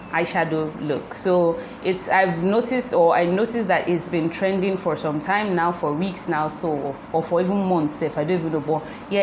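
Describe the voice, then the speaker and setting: Chatty woman with a low voice. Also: background noise. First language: Igbo. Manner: chatty, repeats herself, laughs often. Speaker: beauty vlogger. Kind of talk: casual makeup tutorial chat.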